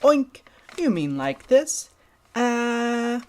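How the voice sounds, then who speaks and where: A woman's voice: mid-range voice, very animated, slow, a little hiss or hum, pitched above the performer's own voice. A woman voicing an eager, polite young pig in a children's puppet show, chirpy and full of oinks.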